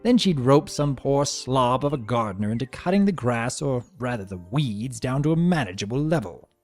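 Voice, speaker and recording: A man voicing a vain, spiteful, sarcastic trickster creature trapped as a statue, telling its story with theatrical, sneering, drawn-out emphasis. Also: mid-range voice, animated, a little mellow, lightly acted. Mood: sarcastic, irritated. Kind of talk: dramatic first-person story reading. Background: music.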